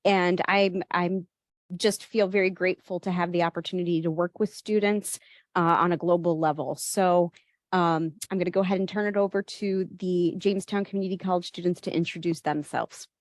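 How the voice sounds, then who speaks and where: A woman's voice: lowish voice, fairly even intonation, moderate pace, no echo, studio quality. A woman, a college director, introducing herself informally on a video call. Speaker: college global learning director.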